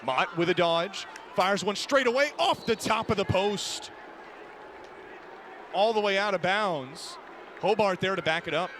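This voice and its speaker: A man giving an energetic, descriptive live radio play-by-play commentary of a lacrosse game. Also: sits high, measured pace. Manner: energetic, descriptive running call of the action. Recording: noisy live broadcast, game crowd behind. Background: crowd and ambient game noise.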